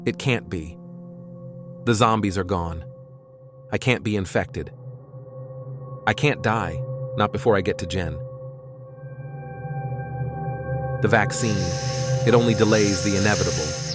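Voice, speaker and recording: A man's voice: lowish voice, lightly acted. A man voicing an anxious, self-doubting survivor muttering to himself in an audio comic. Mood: desperate dread. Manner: brooding, halting, self-talk. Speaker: voice actor. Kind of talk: audio comic dub, dramatic monologue. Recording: clear music behind the voice.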